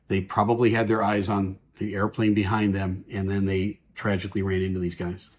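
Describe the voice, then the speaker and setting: A man's voice: deep voice, little pitch movement, fairly quick. A man giving explanatory commentary on air traffic audio in a plain-spoken, everyday way. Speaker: aviation commentator.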